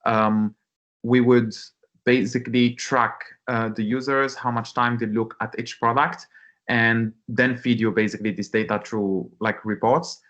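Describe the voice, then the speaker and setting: A man speaks over a muffled online call. His pitch is medium, and he explains things informally in a halting way, often repeating words as he looks for the right phrase. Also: flat delivery, a bit bright, dry recording. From Morocco. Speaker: startup founder, webinar guest. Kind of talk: informal interview answers, explaining.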